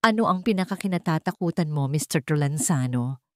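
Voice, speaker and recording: A Filipino woman voicing a poised, guarded, mysterious hired companion in a romance audio drama, calm, measured and firm. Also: low voice, no room sound, clean studio sound. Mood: probing. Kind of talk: romance radio-drama storytelling.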